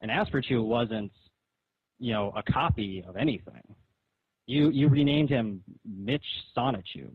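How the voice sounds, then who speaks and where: A man with a rough, husky voice of average pitch argues over the phone. He is insistent and questioning. The line is thin and narrow, with a very dry sound. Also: unhurried, no room sound. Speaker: comic artist on a phone call. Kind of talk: argumentative phone conversation. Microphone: phone call.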